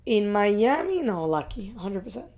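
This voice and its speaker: A woman's voice: mid-range voice, big pitch swings, moderate pace. A woman from Ecuador, a restaurant part owner, giving brief, matter-of-fact answers as the guest in a casual interview. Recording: dull lo-fi audio.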